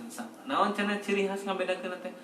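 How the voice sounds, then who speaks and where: A man's voice: sits high, fairly quick, crowd noise. Echoey, jovial West Javanese man, distant mic. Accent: Sundanese-speaking West Java. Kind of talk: casual explanatory group chat in Sundanese. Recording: echoey, distant mic, loud background noise.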